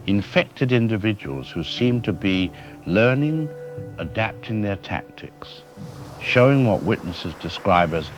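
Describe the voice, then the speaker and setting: A very deep-voiced man as a haunted scientist calling in to a radio show. He sounds grave and alarmed, halting and then emphatic as he explains. Music runs under his voice. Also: expressive. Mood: intense, analytical. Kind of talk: audio drama interview acting.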